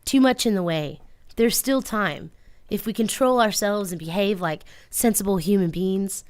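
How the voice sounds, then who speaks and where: A woman's voice: medium pitch, normal speed, a little mellow, no echo, natural voice. A woman playing a sensible, restrained woman resisting love in an amateur radio play, read plainly from a script. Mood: firm, restrained.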